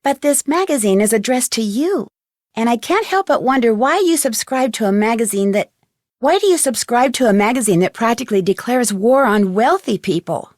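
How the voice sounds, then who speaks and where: Woman acting eager young lady sleuth in a video game, highish voice, earnest, close dry sound. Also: expressive, normal speed, darkish tone, dry recording, natural voice. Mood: curious. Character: young woman amateur detective, polite, eager, determined. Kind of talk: acted video game dialogue lines.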